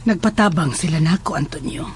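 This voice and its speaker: A woman's voice: deep voice, brisk, slight background noise, natural voice. A woman playing a stern, commanding mother who works as a folk healer in an audio horror drama. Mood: stern, probing.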